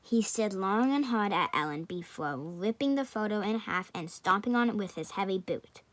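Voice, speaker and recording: A woman's voice: mid-range voice, animated, moderate pace. A woman reading scripted story narration for a puppet show, in a slightly noisy home recording.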